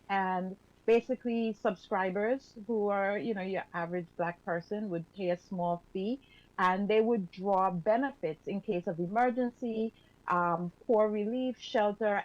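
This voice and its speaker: A woman's voice: mid-range voice, darkish tone. Woman on a dull lo-fi radio line. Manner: reflective, halting with fillers. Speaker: radio show panelist. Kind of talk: radio talk discussion. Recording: dull lo-fi sound.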